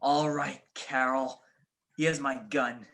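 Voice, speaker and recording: A man acting a gruff, anxious, crooked prison guard in a radio play, with edgy, slangy talk. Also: mid-range voice, flat delivery, slow pace, fairly bright tone. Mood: nervous, hushed.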